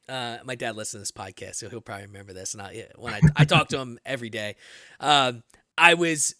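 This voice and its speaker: A man in his late thirties with a US Northeastern accent, hosting a podcast, blunt and animated, swearing freely as he tells stories. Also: mid-range voice, no room sound, clean studio sound. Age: 30s. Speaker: podcast host. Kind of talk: casual interview talk.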